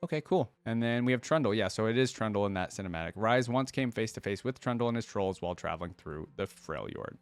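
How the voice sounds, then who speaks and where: Man, low voice, casual reaction host, reading and commenting, dry sound. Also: expressive, fast talker, hardly any pauses, clear, resonant tone, no room sound. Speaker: host of a game reaction video.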